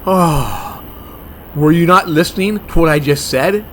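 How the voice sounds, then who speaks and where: Man playing a fussy, high-voiced monster hunter. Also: measured pace, a little hiss or hum, lightly acted. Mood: dismissive, deadpan. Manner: deadpan, argumentative. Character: fussy germophobic male monster hunter, blunt, bossy, irritable. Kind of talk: comedy horror audio drama acting.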